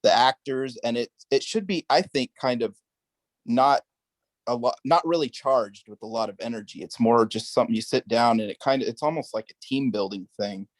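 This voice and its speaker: A man speaking in a casual group discussion, measured and a little hesitant. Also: medium pitch, no room sound. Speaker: study group participant.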